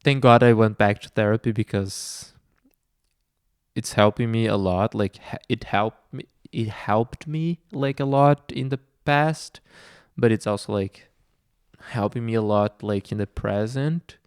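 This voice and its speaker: Soft-spoken man, slow and hesitant. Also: lowish voice, smooth, no room sound, studio quality. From Brazil. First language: Portuguese. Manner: hesitant, many fillers and restarts. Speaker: vlogger. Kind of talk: reflective personal talk.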